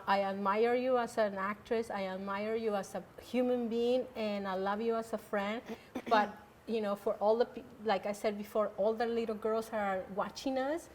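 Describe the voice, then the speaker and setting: A woman's voice: mid-range voice, slight background noise. A woman hosting a casual interview show, talking at length with many fillers and restarts. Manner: rambling, many fillers, warm toward the guest. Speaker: host.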